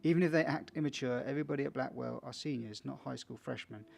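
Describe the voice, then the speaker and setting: A man's voice: mid-range voice, fast, vocal fry. A man playing a video game for viewers, casually reading its text aloud with small stumbles and chatty asides. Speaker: video game let's player.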